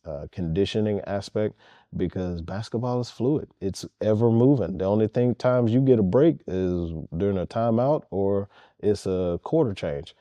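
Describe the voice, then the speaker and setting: A man's voice: lowish voice, moderate pace, warm tone, no echo. A man, a head basketball coach, answering interview questions earnestly and emphatically, repeating his key points.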